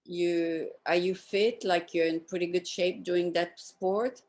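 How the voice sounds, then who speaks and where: Lady interviewer, slightly low voice, just chatting and asking questions casually. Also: steady tone, slow pace, darkish tone. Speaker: interviewer, host.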